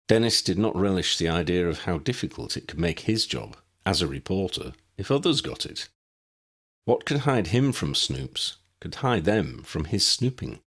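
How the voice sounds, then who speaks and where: A man narrating an audiobook of his own fan fiction as author and reader, telling the story expressively. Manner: expressive storytelling with wide swings in pitch.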